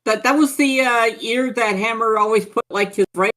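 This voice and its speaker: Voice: medium pitch, normal speed, fairly bright tone. A player chatting casually during a tabletop roleplaying game.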